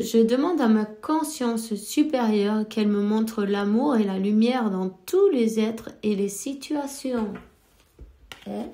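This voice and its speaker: A woman's voice: a little high, warm tone, reverberant. A woman with a cold giving casual spiritual advice as a card reader, hesitant and full of fillers. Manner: slow, hesitant, many fillers. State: has a cold.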